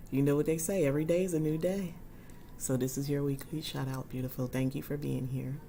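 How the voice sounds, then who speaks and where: Low, husky-voiced woman chatting. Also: a little hiss or hum. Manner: chatty and warm toward her viewers, frequent ums. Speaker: livestream host. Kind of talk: casual livestream chat.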